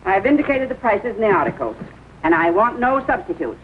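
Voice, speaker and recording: A woman's voice: medium pitch, big pitch swings, a little fast, close to an everyday voice. An actress plays a stingy, impatient middle-aged spinster. She is curt, imperious and scolding in old film comedy style. The soundtrack is narrow and tinny, with faint noise. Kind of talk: 1940 film comedy acting. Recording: thin, noisy 1940 film soundtrack.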